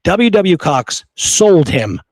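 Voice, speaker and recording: A man's voice: high-pitched, very animated, slow pace, dry recording. A man hosting a casual history discussion, speaking in an emphatic, argumentative and exasperated way. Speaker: podcast host.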